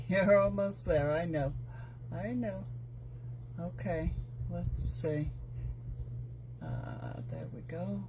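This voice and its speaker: A woman hosting a live craft stream speaks in an unhurried, drawn-out way. She chats casually with her viewers and a guest, stopping often on small fillers. The sound comes through a computer mic on a live video call. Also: on the low side, noisy background. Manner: chatty, reads out viewers' locations, halting with fillers.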